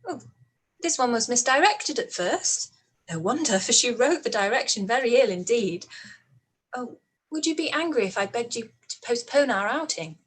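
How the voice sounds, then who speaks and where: A woman playing a poised, quick-witted young gentlewoman in a period radio play, courteous and composed in manner. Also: fairly high pitch, expressive, husky. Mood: pleased, eager.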